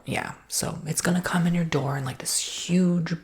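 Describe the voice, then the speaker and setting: A woman playing an easygoing, reassuring salesperson in an ASMR roleplay, soft-spoken and partly whispering in an informal, rambling way. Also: fairly low pitch, fairly even intonation, normal speed, a little hiss or hum, close to an everyday voice. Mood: matter-of-fact, helpful. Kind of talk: ASMR roleplay, improvised customer-service chat.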